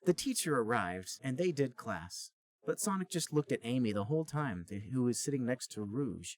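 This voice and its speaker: A man's voice: mid-range voice, big pitch swings, normal speed, crowd noise. A man hosting a comedy podcast, narrating a story aloud in a plain storybook manner. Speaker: podcast host reading a story. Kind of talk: comic read-aloud narration.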